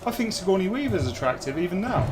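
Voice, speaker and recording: A man's voice: high voice, very animated, quick pace, background noise. A man in casual gaming banter, teasing, blunt and crude, swearing freely. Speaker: gamer co-host. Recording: music playing behind.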